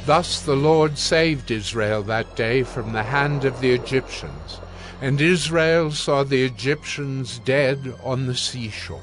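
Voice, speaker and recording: A man narrating scripture from a script in a solemn, measured manner. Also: medium pitch.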